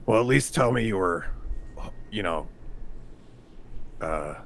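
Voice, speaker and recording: A man's voice: deep voice, lively intonation, slow, vocal fry, fairly bright tone, a little hiss or hum. A man playing a tabletop game, hesitantly narrating his character's actions and speaking the lines.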